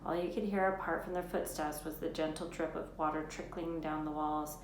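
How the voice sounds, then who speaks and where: A woman reading a children's novel aloud as an expressive storyteller. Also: low-pitched, a little fast. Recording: roomy echo, faint background noise.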